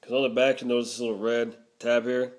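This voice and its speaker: A man's voice: medium pitch, little pitch movement, fast talker. A man narrating a casual product review, explaining things step by step.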